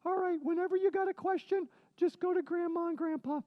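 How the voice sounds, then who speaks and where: A man voicing a cheeky, excitable animal puppet sidekick with a scratchy, throaty voice, bantering in a children's read-aloud. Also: very high voice, brisk, dull audio, pitched above the performer's own voice. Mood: cocky. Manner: cheeky, interjecting, exclamatory.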